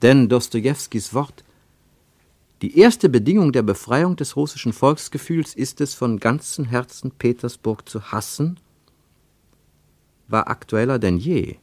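An actor as the narrator of a literary radio travel report, with formal diction and an emphatic, measured way of telling. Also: male voice, medium pitch, expressive, a little mellow, dry recording. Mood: emphatic narration.

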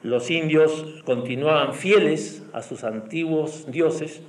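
A man with a slightly high voice explains things like a history professor. He builds his points in measured phrases, repeating words for emphasis. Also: rough recording. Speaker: historian and university professor, priest. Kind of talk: explanatory academic commentary.

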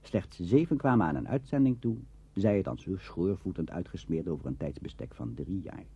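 A man's voice: on the low side, dark tone, husky. A man with a Netherlands Dutch accent reading scripted narration for a documentary. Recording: dull, lo-fi radio recording.

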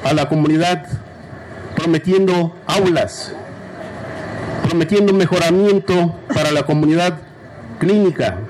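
A man from Oaxaca with a Mexican accent, giving a public speech at a community forum in slow, deliberate short phrases with pauses. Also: on the high side, background noise.

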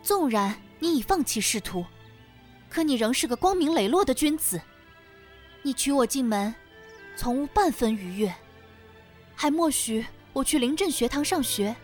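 An actress playing a poised, perceptive young lady with a formal, knowing manner in an audio drama. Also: high-pitched.

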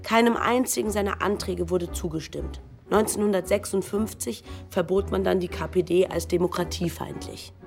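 A woman's voice: on the low side, steady tone, moderate pace, creaky, few pauses, a little mellow, faint music behind. A woman narrating a scripted documentary in dialogue with a co-narrator.

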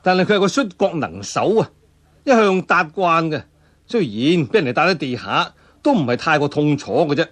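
A man's voice: on the high side, big pitch swings, moderate pace, fairly bright tone. A man with a Cantonese accent telling a martial-arts tale in a dramatic, colloquial traditional storytelling style. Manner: dramatic, colloquial, with spoken sound effects and interjections.

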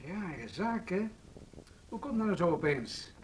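A man's voice: sits high, very animated, measured pace, distant mic, a little hiss or hum. An actor playing a self-assured, defensive city alderman in a radio drama, breezy and blunt, with a Netherlands Dutch accent.

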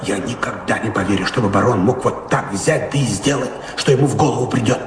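An actor playing a fussy, indignant manservant in a radio drama, querulous and emphatic. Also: male voice, lowish voice, brisk, music in the background, close to an everyday voice. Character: fussy, indignant manservant, servile to his master. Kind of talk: theatrical radio drama acting. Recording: reverberant hall, faint background noise.

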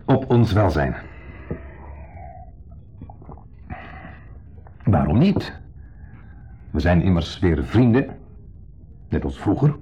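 A man's voice: deep voice, mellow, slightly rough, heavily acted. An actor playing a lonely, needy man in a radio drama, insistently friendly and confessional, swinging between eager and downcast. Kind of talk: 1970s radio drama acting. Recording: dull 1970s radio recording.

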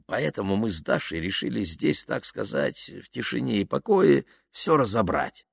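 A male narrator acts a blustering, self-important businessman in an audiobook. He is patronizing and suspicious, quick to swing from condescension to outrage, with a very wide pitch range and a dry studio sound. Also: sing-song, no echo, heavily acted. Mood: confidential, evasive. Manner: smooth condescension breaking into outraged yelling.

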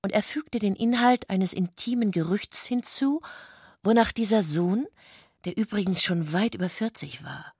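A woman's voice: mid-range voice, normal speed, darkish tone, breathy edge, no echo, studio quality. A woman reading literary prose as the narrator of a radio drama, in a scripted literary style.

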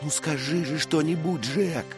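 A man's voice: on the high side, a little slow, music in the background, pitched above the performer's own voice, lightly acted. A man voicing an earnest, brave young squire who speaks with passion in an audiobook. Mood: pleading. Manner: impassioned, emphatic.

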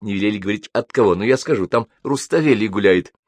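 A man voicing a waitress who passes on secrets in a hushed, confiding manner. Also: lowish voice, fast talker, dry recording, close to an everyday voice. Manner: hushed, whispering.

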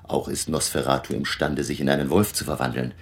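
An actor playing a grave, learned vampire hunter who lectures his allies deliberately and emphatically in a German radio drama. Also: very deep voice, flat delivery, vocal fry, breathy edge, heavily acted. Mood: grave, resolute.

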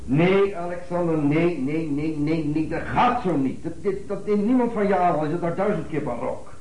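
An actor playing a fussy, excitable rehearsal coach who stammers and repeats himself in a Dutch radio play. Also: male voice, fairly high pitch, normal speed, lightly acted. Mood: agitated, correcting. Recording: noisy, echoey, far-off tape playback.

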